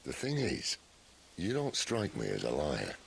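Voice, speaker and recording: Very deep, gravelly, wry rebel leader. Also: male voice, wildly swooping pitch, slight background noise, full character voice. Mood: musing, grave. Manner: wry, measured, sardonic understatement. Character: wry, worldly rebel leader with a roguish, dry wit. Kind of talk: cinematic fantasy video game acting.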